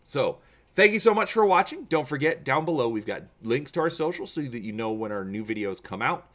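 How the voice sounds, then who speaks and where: A man reading an adventure gamebook aloud as a channel host, with measured, theatrical, deliberate phrasing. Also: mid-range voice, very animated, fairly bright tone. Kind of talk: dramatic audiobook-style reading of an adventure gamebook.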